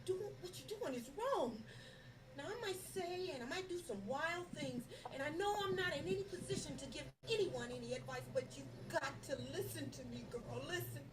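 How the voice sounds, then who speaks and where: A woman's voice: high-pitched, animated, normal speed, distant mic, a crowd in the background, poor audio. A woman acting out women in a domestic drama, with an intense, emotional, theatrical delivery. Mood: urgent pleading. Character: abused wife and her pleading woman best friend. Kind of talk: live dramatic performance.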